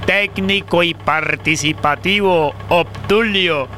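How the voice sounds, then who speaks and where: An actor playing a smug, cruel military commander in a radio drama, with a drawn-out, relishing delivery. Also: male voice, high voice, pushed and bright, slight background noise, exaggerated voice. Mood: amused menace.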